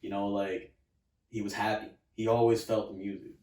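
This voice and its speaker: A man's voice: lowish voice, flat delivery, moderate pace, darkish tone, distant mic. A young man from New Jersey, a rapper guest, talking in a laid-back way full of "like" and "you know". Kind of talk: casual interview chat. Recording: roomy, from off mic.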